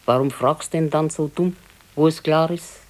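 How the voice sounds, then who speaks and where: A woman playing an aging, resigned, gently chiding wife in a radio play, with a southern German, Bavarian accent and a matter-of-fact manner. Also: deep voice, steady tone, measured pace, rough recording. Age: older. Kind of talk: naturalistic radio play acting.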